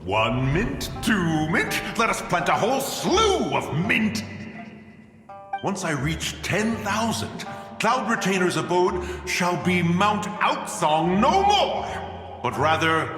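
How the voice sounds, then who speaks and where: A man playing a pompous, excitable elderly immortal in a video game, with theatrical, archaic speech. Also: high-pitched, slow pace, full character voice. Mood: gleeful boasting. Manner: theatrical, bombastic, archaic diction. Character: pompous, excitable elderly male adeptus, comically obsessed. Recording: reverberant echoing hall, music underneath.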